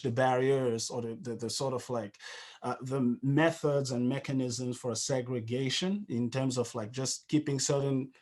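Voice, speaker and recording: A man's voice: mid-range voice, a little mellow. A man in his thirties from Cameroon in a casual question-and-answer conversation on a video call, speaking thoughtfully and often restarting as he searches for words. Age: 30s. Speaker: musician and interview guest.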